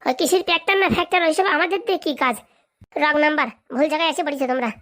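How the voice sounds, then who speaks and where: Woman doing a cheeky village hawker in a cartoon, rural bengali dialect, super fast, high pitched, always arguing back. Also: a bit bright. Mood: brash. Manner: combative, sarcastic, rapid back-talk. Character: brash, defiant village herbal-oil seller, argumentative and cheeky. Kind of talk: comic cartoon dialogue.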